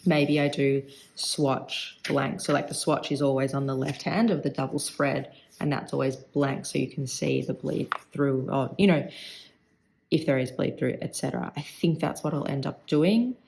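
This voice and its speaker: Croaky woman chatting about ink. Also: very deep voice, steady tone, moderate pace, darkish tone, slight background noise, poor audio. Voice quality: vocal fry. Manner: chatty, thinking aloud. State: croaky voice today. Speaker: stationery vlogger. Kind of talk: casual rambling review.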